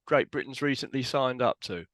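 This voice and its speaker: A man's voice: mid-range voice, lively intonation, moderate pace, a bit bright. An older man hosting an interview over a video call on a headset, putting brief questions to his guest. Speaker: host.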